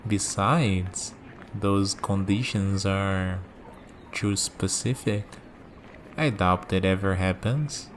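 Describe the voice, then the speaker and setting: A man playing a calm, curious villain in a scripted ASMR roleplay, musing and dryly teasing as he talks. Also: low voice, slow, a little hiss or hum. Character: calm, curious young male villain bargaining with a death god.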